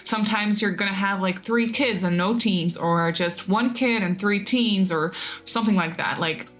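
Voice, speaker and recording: A woman's voice: mid-range voice, normal speed, a bit bright. A woman who learned English as a second language, chatting in a rambling, casual voiceover, laughing at herself now and then and filling gaps with "um" and "like". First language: not English. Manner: rambly, chatty, many fillers and restarts. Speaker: Sims builder and YouTuber. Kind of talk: casual voiceover of a speed build video.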